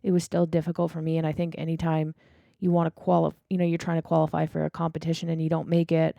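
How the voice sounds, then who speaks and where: A woman in her thirties with a low, gravelly, mellow voice chats casually as a podcast co-host. She is matter-of-fact and keeps a flat, even melody. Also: quick pace, dry recording. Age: 30s. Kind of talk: casual conversation.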